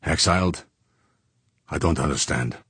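A man's voice: very deep voice, a little slow, fairly bright tone, husky, no echo, exaggerated voice. A man voicing a weary, stunned captain.

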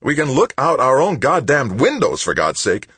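A man's voice: medium pitch, very animated, moderate pace, slightly rough, no echo, pitched above the performer's own voice. A man voicing an exasperated grown son, pleading. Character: frustrated grown son home from space work.

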